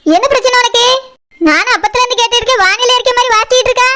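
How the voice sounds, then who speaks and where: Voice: very high-pitched, racing, background noise. A performer voicing a grumpy, bossy, suspicious shop owner in a comic cartoon, scolding sarcastically with a Kanyakumari Tamil accent. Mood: irritated, sarcastic.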